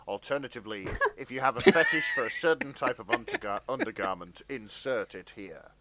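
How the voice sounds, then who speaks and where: A man's voice: medium pitch, huge pitch swings, a little fast, heavy background noise. A man hosting a podcast, reading fan fiction aloud with mock-serious narration.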